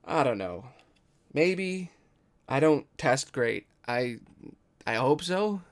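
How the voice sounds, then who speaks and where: A man's voice: medium pitch, sing-song, slow. A man voicing a frustrated, self-doubting young archer who pleads and hesitates, in a dramatic story reading. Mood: bashful.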